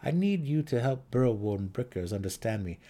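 A man voicing an earnest, courteous male dark elf warrior in a fantasy story reading, speaking carefully and formally. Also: medium pitch, animated, fairly quick, lightly acted. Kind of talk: fantasy audiobook character voice.

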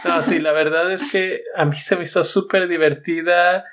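A man's voice: high voice, fairly even intonation, moderate pace, fairly bright tone. A man with a Mexican accent giving a casual, conversational review as a podcast host, informal and thinking aloud as he goes.

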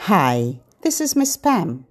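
A mature woman hosts a podcast for babies and their parents. She speaks at an unhurried pace, and her pitch moves up and down in an animated, expressive way. Her delivery is scripted and gently instructional, pitched at a very young audience. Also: husky. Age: middle-aged or older. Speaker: children's podcast host. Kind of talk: scripted, child-directed narration.

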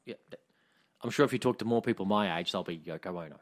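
A man's voice: lowish voice, fast. Wry, hungover Australian man, podcast banter. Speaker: podcast co-host. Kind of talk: casual chat.

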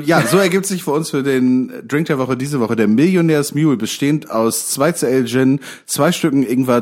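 A man co-hosting a comedy podcast, riffing with mock-posh irony and laughing often. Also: medium pitch. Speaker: podcast co-host.

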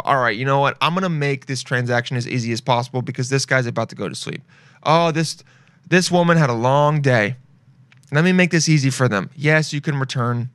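A man's voice: medium pitch, fairly bright tone, dry recording. A young man hosting a casual podcast, rambling and joking as he browses shopping sites and reads reviews aloud. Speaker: podcast host.

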